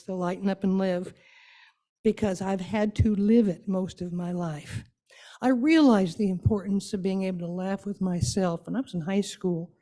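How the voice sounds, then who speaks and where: A woman's voice: medium pitch, dark tone. A woman guest speaker giving an informal, conversational personal talk to a congregation. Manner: anecdotal, conversational. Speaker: guest speaker at a church service.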